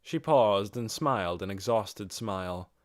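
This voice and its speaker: A man reading a fantasy audiobook as narrator, in a measured and expressive dramatic storytelling style. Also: on the low side, no echo, studio quality.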